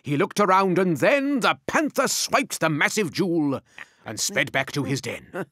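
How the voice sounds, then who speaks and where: A man's voice: high-pitched, big pitch swings, measured pace, pushed and bright, dry recording, clean studio sound. A man narrating a rhyming story in a dramatic, scripted storyteller's delivery.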